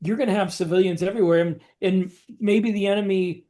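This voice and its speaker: A man with a distinctly high-pitched voice chats as a podcast co-host. He describes things casually in short, halting phrases, pausing and repeating words along the way. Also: steady tone, warm tone. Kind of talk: casual discussion, describing story scenes.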